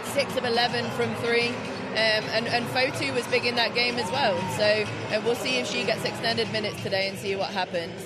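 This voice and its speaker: A pundit with a middling-pitched voice talks through pre-game analysis in a measured, conversational way. Loud music plays behind the whole time. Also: steady tone, over a noisy crowd, poor audio. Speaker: sports pundit, former player.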